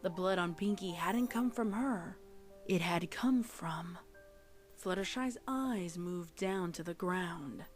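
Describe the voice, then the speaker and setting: A woman's voice: on the low side, takes it slowly, soft background music. A woman reading a scripted horror story as narrator, in a dramatic storytelling style.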